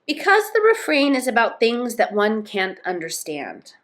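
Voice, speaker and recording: Woman reading as calm, enigmatic Tootiki. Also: fairly high pitch, a little slow, exaggerated voice. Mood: genial, firm. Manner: genial, matter-of-fact. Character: Tootiki, calm genial female storybook creature, enigmatic.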